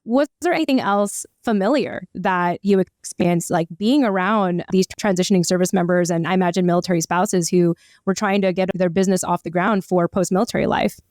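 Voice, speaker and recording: A woman hosting a podcast, asking questions in a warm, affirming way with many fillers. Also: lowish voice, brisk, few pauses, no room sound, clean studio sound. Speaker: podcast host. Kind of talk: casual interview chat.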